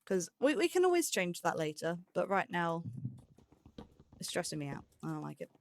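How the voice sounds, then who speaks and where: A woman's voice: lowish voice, big pitch swings, moderate pace, a little hiss or hum. A woman giving casual let's play commentary, musing aloud and questioning herself as she goes. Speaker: host of a gaming let's play series.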